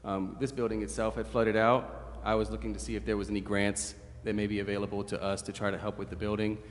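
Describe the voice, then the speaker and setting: A man's voice: on the low side, little pitch movement, fast talker. A man explaining things at a public meeting as a city staff member. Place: council chamber. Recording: echoey hall, faint noise.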